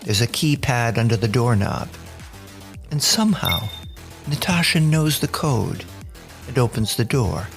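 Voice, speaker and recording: A man's voice: medium pitch, animated, a little slow, creaky. A man narrating a scripted audio drama as the storyteller. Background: music bed.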